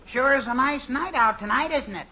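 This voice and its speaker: An awkward, high-voiced teenage boy. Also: normal speed. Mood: nervous, eager. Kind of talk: 1950s radio sitcom acting. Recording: old radio recording, faint noise.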